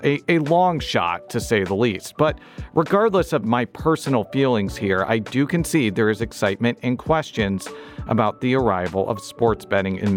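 A man hosting a public radio talk show, reading listener questions with a dry, self-deprecating humor. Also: fairly low pitch.

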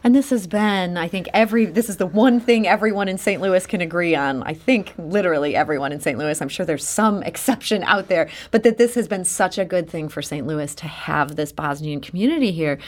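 A woman's voice: lowish voice, fairly quick, hardly any pauses. A woman hosting an interview, asking her guests questions in a conversational way. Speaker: host. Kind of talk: radio interview.

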